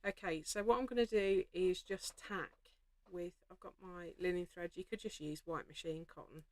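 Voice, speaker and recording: Casting: woman, lowish voice, calm practical craft host chatting while she sews. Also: normal speed, no echo. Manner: practical, reassuring, thinks aloud while stitching. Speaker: host of a live stitch-along. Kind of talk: casual live craft demonstration.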